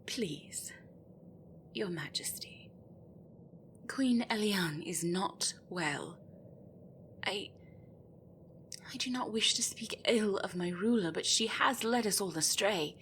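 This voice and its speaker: A woman's voice: mid-range voice, animated, slow pace, long pauses, fairly bright tone, slight background noise. A woman playing an anxious, desperate female gryphon in an audio roleplay, speaking in a hesitant, deferential, pleading way. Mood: fearful pleading.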